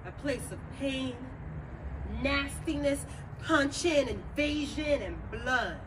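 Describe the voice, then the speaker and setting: A woman's voice: sits high, takes it slowly. A woman performing a staged monologue as a candid, wounded young girl recalling childhood memories. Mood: pained, somber. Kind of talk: dramatic monologue at a staged reading. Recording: noisy live venue recording, traffic nearby.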